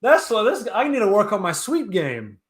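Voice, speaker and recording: A young man co-hosting a sports podcast, talking basketball in loud, playful, animated banter. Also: high voice, normal speed. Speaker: podcast co-host.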